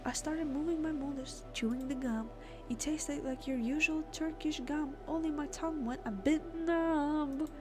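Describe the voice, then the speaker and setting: Girl gamer lets play, highish voice, reads the story out and reacts casually, game music playing behind. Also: moderate pace. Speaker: gamer, let's player. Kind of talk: reading game text aloud with casual reactions.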